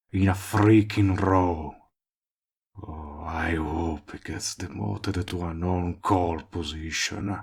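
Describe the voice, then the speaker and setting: A low, slow-talking villain played by a male voice actor courts the listener in a romantic audio drama. He is smug and possessive, with a creaky, gravelly edge. His lines are wry and smooth-talking, with words drawn out for emphasis. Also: darkish tone, exaggerated voice. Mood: bitter, irritated. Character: smug lovestruck villain, possessive, persistent, wryly comic man. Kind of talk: romantic comedy audio roleplay addressed to listener.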